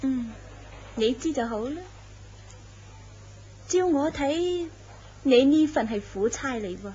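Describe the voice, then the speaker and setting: A sly, knowing mistress, voiced by a woman in an old-style horror radio play, teasing her companion with soft laughs. Her voice sits fairly high. The recording is dull and lo-fi. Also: expressive, takes it slowly, a little hiss or hum. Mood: ominous. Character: scheming young mistress of a jealous tycoon. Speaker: actress in a horror radio drama. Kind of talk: radio drama acting.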